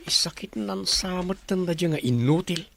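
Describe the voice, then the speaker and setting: A man's voice: sits high, moderate pace, vocal fry, slight background noise. A man playing a resentful young grandson in a radio drama, sneering and sulky.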